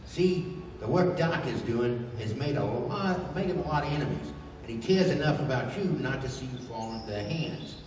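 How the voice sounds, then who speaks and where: An actor playing a bumbling, hot-tempered brawny adventurer in 1930s radio style, talking in slang. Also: male voice, mid-range voice, animated, normal speed, close to an everyday voice. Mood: bashful, wooing. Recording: echoey hall, distant mic, faint noise.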